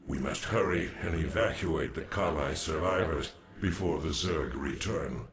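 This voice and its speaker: Casting: ancient alien templar sage, extremely low and gravelly, grave and formal, video game drama under music. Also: male voice. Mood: courteous, urgent. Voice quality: deep, gravelly. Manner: grave, formal, measured oratory. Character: wise, solemn alien dark templar warrior elder.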